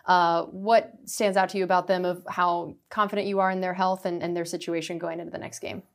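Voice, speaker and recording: A woman's voice: lowish voice, little pitch movement, a little fast. A young woman, a team reporter hosting a radio show, asking long, wordy questions with fillers.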